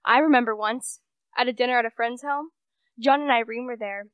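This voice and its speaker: A woman's voice: a little high, brisk, fairly bright tone, clean tone, no echo, natural voice. A young woman playing a gossipy, pitying society woman who tells stories chattily in a radio play. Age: young adult. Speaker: student actor.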